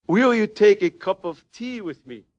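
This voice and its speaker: An actor playing a polite, serene, shrewd Chinese merchant in a radio western, speaking formal, deliberate broken English with a Chinese accent. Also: male voice, high-pitched, animated, a little mellow. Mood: courteous, innocent. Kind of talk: old-time radio western acting. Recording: muffled old radio recording.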